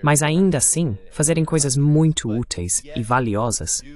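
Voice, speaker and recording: A man hosting a dubbed political interview, laying out his view in a measured, explanatory way. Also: fairly high pitch, animated, darkish tone. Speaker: interview host. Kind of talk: political commentary, dubbed voice-over.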